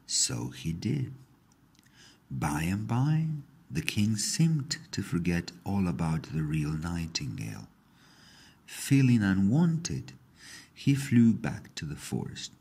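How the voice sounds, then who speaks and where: A man narrating a scripted fairy tale in a husky, gravelly voice, with measured, expressive storytelling. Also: low-pitched.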